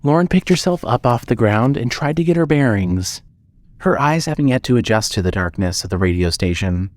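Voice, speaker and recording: A man reads scripted horror fiction as the narrator. His pitch sits in the middle range. His delivery is measured and dramatic, in the manner of a storyteller. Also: lively intonation, darkish tone, dry recording.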